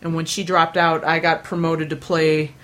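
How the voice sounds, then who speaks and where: A woman's voice: sits low, flat delivery, normal speed, a bit bright. A young woman rambling through casual stories, with lots of fillers, restarts and self-deprecating asides. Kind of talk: casual chat.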